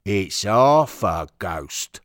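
A man voicing a gruff, unreformed football hooligan turned steward in an audio story. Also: on the low side, wildly swooping pitch, slow pace, over loud music, pitched above the performer's own voice, heavily acted. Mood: ominous.

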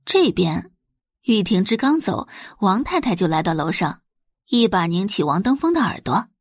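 A woman's voice: medium pitch, very animated, normal speed, husky, no room sound, clean studio sound. A woman narrating a scripted audio drama in a dramatic storytelling style.

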